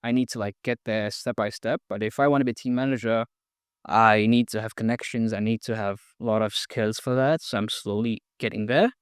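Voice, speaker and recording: A young man in his twenties from India, a podcast guest talking casually with many pauses, fillers and restarts. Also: fairly low pitch, fairly even intonation, clear, resonant tone, no room sound, clean studio sound. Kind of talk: casual interview, sharing personal story.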